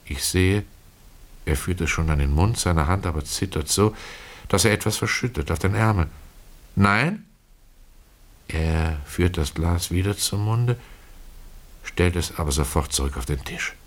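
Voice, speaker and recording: A man with a low voice narrates a radio play as its first-person storyteller. His delivery is literary and expressive. He moves deliberately through the phrases and leaves pauses between them.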